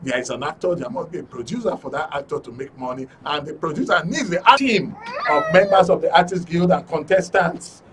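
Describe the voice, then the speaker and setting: A Nigerian man hosting a live studio panel show, introducing things haltingly and repeating phrases. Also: on the high side. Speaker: radio and TV programme host. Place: radio and TV studio. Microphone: studio microphone. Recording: faint noise, distant studio mic.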